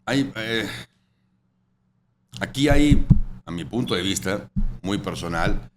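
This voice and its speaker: Deep-voiced Italian man, chatty chef. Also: animated, takes it slowly. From Italy. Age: 40s. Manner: rambling, full of fillers and "¿verdad?". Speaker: chef and restaurant owner. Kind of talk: casual interview chat. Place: his restaurant. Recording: noisy room ambience.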